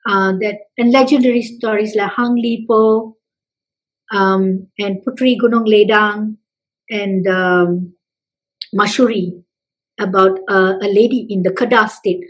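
A woman from Malaysia, answering interview questions with many pauses and restarts. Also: medium pitch, steady tone, dull audio. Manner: hesitant, many fillers and restarts. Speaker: festival representative guest.

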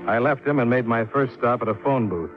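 An actor playing a cool, shrewd insurance investigator in a 1950s radio drama, speaking and narrating in a clipped, dry, matter-of-fact way. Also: male voice, mid-range voice, fairly quick, lightly acted. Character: cool, shrewd freelance male insurance investigator, wry and self-reliant. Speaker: lead actor and narrator of a radio detective serial. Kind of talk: 1950s radio drama acting, first-person hard-boiled narration. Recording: muffled 1950s radio recording with music.

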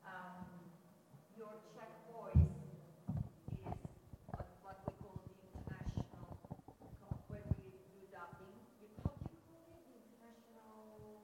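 Female moderator, hesitant questions, distant and echoey, loud room noise. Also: medium pitch, flat delivery, measured pace. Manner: hesitant, searching for words. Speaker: panel moderator. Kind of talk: convention panel questions. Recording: distant mic in echoey noisy hall.

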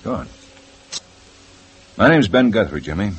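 An actor playing a calm, probing male police lieutenant in a 1950s radio drama, questioning steadily. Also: lowish voice, a little slow, husky, dull audio, full character voice. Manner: steady, patient questioning with lively swings. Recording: old radio broadcast with faint hiss.

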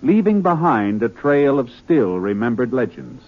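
A man's voice: mid-range voice, very animated, a little slow. An American man announcing a 1950s radio drama in a scripted, dramatic style. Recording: muffled old radio broadcast over music. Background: music.

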